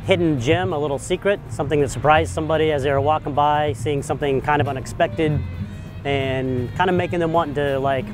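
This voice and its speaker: A man's voice: a little high, steady tone, fairly quick, over music. A man, a metal sculptor, talking hesitantly about his work in an outdoor park interview. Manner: hesitant, many fillers. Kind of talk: on-camera interview.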